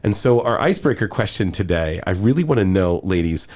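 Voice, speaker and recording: A man, a priest, hosting a casual podcast interview, talking with animated enthusiasm and plenty of fillers. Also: low-pitched, brisk.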